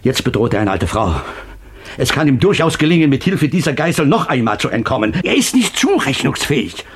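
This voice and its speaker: An actor playing a stern, controlling man who speaks firmly and gravely in a radio crime drama. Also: medium pitch, sing-song, a little fast. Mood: grave, insistent. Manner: firm, grave, emphatic.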